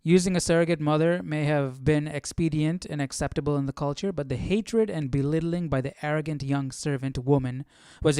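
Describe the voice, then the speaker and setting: A man's voice: on the high side, darkish tone, smooth, no echo, studio quality. A man casually commenting on what he reads as a stream host, musing and questioning as he works out his thoughts. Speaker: host of a live Bible study stream. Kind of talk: casual reading commentary, thinking aloud.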